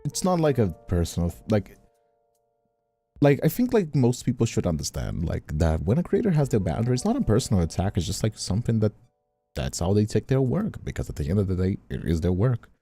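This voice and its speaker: A man with a dark, mellow voice talks casually on a livestream over faint music. His pitch moves in lively swings as he rambles, stopping and repeating phrases while he works out what he means. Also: vocal fry, husky. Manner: rambling, halting, repeats himself. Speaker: streamer and content creator.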